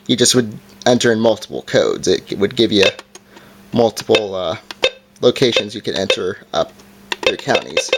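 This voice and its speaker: A man giving an informal, hands-on product review in a casual explaining style, recorded indoors. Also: fairly low pitch. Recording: background noise with handling clicks.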